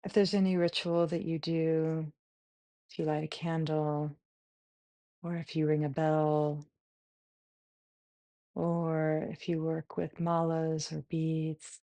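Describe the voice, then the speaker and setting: Female meditation guide, mellow and even, gentle short phrases with long pauses, close dry sound. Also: deep voice, no echo. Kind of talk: guided body scan meditation.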